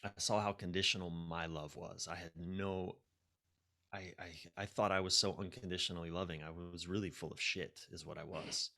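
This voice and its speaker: A man's voice: deep voice, fairly even intonation, moderate pace, vocal fry, pushed and bright. A man speaking as a podcast guest, telling personal stories candidly and self-deprecatingly, acting out his inner dialogue. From United States. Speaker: podcast guest, relationship coach. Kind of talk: personal storytelling in a conversational interview.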